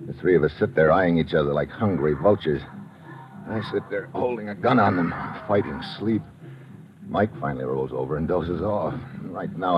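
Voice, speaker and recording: Low-voiced, hard-boiled American adventurer. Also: male voice, background noise. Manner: clipped, slangy, commanding. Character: tough, weary American adventurer hero, narrating his jungle ordeal. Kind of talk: old-time radio adventure acting, hard-boiled narration. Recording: dull vintage radio recording, music behind.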